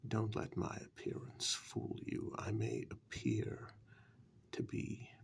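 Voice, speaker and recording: Casting: male performer for age-old male warlock, ASMR fantasy role-play. Soft half-whisper, extremely unhurried, gravelly, quietly threatening, looking down on the listener. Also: on the low side, exaggerated voice. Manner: slow, deliberate, condescending, with long pauses. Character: ancient powerful male warlock, no longer human, calm and menacing.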